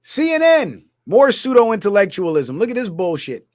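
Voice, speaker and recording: A man's voice: high-pitched, sing-song, normal speed, darkish tone, no echo, studio quality. A man from Guyana ranting through a political commentary livestream, heated and blunt, reading viewer comments aloud. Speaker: streamer.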